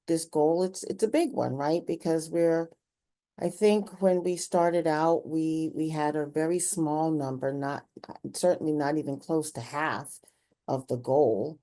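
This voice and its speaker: Low-voiced woman interviewer, mellow. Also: fairly even intonation, unhurried. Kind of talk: conversational community interview.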